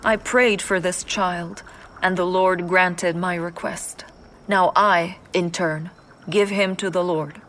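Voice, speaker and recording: A woman's voice: lowish voice, fairly even intonation, slight background noise. A devout mother praying. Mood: pleading. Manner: solemn, measured recitation. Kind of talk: audio drama scripture reading. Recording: studio recording with soft music underneath.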